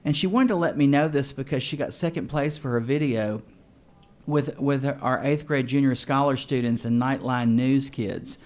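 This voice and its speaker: Halting man announcing student awards. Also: medium pitch, darkish tone. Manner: halting, with frequent uh and restarts. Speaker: school district official presenting awards. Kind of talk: meeting announcements.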